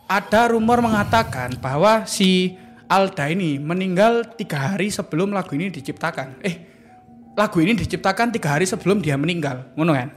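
Pria muda bersuara tinggi, logat Jawa Timur. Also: male voice, high voice, lively intonation, a little fast, soft background music. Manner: chatty storytelling, mixing Indonesian and Javanese slang. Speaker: podcast co-host. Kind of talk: casual podcast banter.

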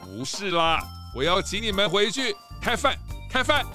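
A man's voice: sits high, very animated, normal speed, sharp tone, faint music behind, heavily acted. A man playing a jovial, hospitable panda school principal in a children's audio drama, effusive and full of exclamations. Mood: jovial, excited.

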